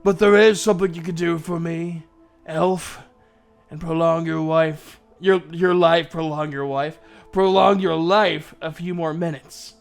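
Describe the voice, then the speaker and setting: A man's voice: on the high side, steady tone, measured pace, gravelly, clean tone, faint music behind. A man voicing a huge, sly dragon from a storybook, haughty and menacing as it guards its hoard. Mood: sly, bargaining. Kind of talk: storybook villain voice.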